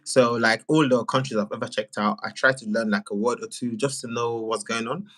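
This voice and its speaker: Male video creator as live-chat guest. Mid-range voice, casual and animated, doubles up phrases for emphasis. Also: medium pitch, a little fast.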